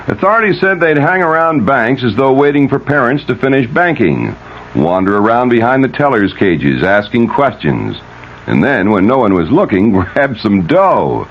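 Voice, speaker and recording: An American man anchoring a 1970s radio news bulletin, reading scripted stories. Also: fairly low pitch. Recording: dull, lightly noisy vintage radio broadcast.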